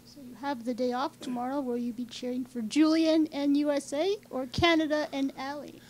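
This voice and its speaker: Fairly high-voiced woman reporter asking questions. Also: fairly even intonation, normal speed, a little mellow, poor audio. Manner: direct, questioning. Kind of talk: press conference questions.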